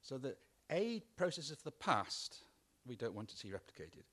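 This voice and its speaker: A man's voice: medium pitch, very animated, moderate pace, bright, forceful tone. A man putting an argumentative question to a panel. He is rhetorical and keeps checking in with "yeah?". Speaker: conference participant.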